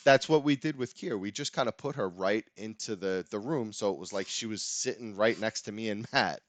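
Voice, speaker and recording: Laid-back low-voiced New man. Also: measured pace, no room sound. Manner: laid-back, laughs often, loose rambling talk. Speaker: podcast host. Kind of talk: casual chat.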